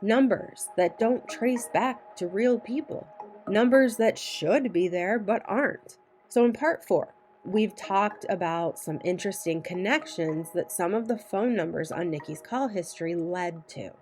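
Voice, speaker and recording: A woman narrating a scripted true crime video in a deliberate, measured way with emphatic phrasing. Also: mid-range voice, a little mellow. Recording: voice-over with music behind it. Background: music.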